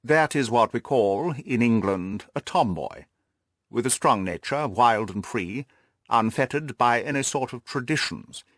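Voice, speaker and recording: A man's voice: mid-range voice, animated, a bit bright, no room sound, clean studio sound. A man voicing a pompous, haughty English nobleman. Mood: proud, deliberate. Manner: pompous, measured. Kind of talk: audiobook character dialogue.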